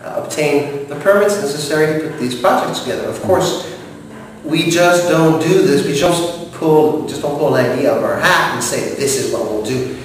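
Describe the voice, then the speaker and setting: A French-speaking man from Montreal in his forties, answering interview questions in a formal, measured way with frequent hesitations. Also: a little high, recorded from a distance, a little hiss or hum. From Montreal, Canada. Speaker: director of operations. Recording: echoey room, voice from off-mic with faint ambience.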